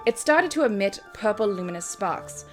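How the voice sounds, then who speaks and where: A woman's voice: medium pitch, lively intonation, normal speed, soft background music. A woman giving a dramatic reading of her own fan fiction, with wry, self-mocking asides. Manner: theatrical, self-mocking commentary. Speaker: reader and host.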